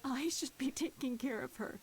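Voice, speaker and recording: A young heroine of a dramatic video game, speaks in a fairly high, emotional voice. She is grief-stricken and pleading, with some hiss in the recording. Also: moderate pace, a little mellow. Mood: guilty grief. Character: Grace, distraught young woman grieving a slain friend.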